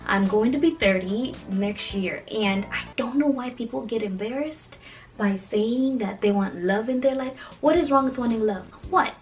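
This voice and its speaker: A 29-year-old woman from Kenya chatting casually as a vlogger, in a playful, emphatic and animated conversational way. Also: on the high side, moderate pace, faint music behind.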